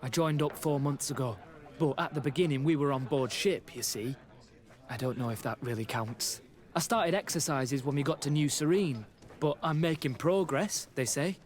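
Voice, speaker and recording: An actor playing a nervous young soldier who speaks hesitantly and deferentially in a video game. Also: male voice, a little high, moderate pace, lightly acted. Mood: eager, shy. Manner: hesitant, flustered, stammering. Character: nervous, deferential young male army recruit. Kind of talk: video game character acting.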